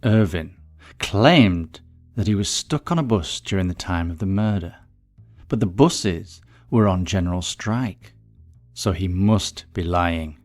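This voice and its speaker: A man's voice: sits low, expressive, unhurried, darkish tone, faint music behind. A man reading a scripted murder mystery story as its narrator.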